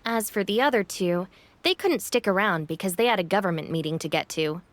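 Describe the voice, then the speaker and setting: A woman's voice: medium pitch, animated, fairly quick, natural voice. A woman playing a sensible young gang deputy in a video game, practical and matter-of-fact.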